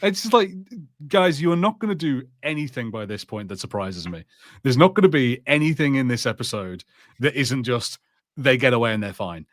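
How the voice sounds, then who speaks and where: A man's voice: mid-range voice, lively intonation, moderate pace, dry recording. Exasperated man podcasting, dry close sound. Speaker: podcast co-host. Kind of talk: casual film and TV review chat.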